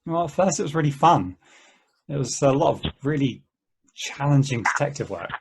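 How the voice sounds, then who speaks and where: A man playing an easygoing game player in an amateur table read, talking in a halting, conversational way. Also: fairly high pitch, animated, creaky, background noise. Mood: reminiscing. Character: easygoing, rambling male player of an endless treasure-hunt game.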